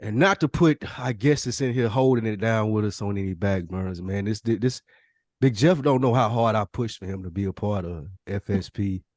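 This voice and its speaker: A man's voice: fairly low pitch, normal speed, darkish tone, dry recording, studio quality. A man hosting a podcast chat, speaking casually in slang with an encouraging manner. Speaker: podcast host.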